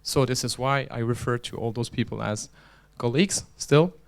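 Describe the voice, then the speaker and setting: Clear-voiced man giving a joking conference talk. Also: mid-range voice, moderate pace. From Belgium. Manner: chatty, joking, restarts often. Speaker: conference speaker and organizer. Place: conference hall.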